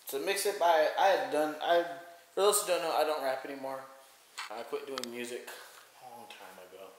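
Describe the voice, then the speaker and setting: A man's voice: mid-range voice, brisk. A man in his thirties casually vlogging at home, rambling with lots of fillers, filmed on a handheld phone camera. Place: home bedroom and living room, indoors. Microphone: handheld phone camera at arm's length. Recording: very echoey bare room, faint noise.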